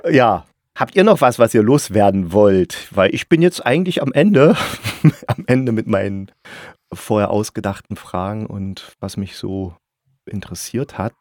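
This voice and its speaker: A man with a fairly low voice hosts an interview in a relaxed conversational register. He talks informally and colloquially, and the recording is dry, with hardly any room sound. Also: a little slow, dry recording. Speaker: interviewer and podcast host.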